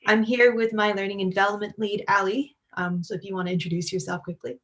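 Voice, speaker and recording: A woman in her twenties, a student club lead, introducing herself on a video call in a brisk, friendly way. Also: mid-range voice, fairly even intonation, a bit bright.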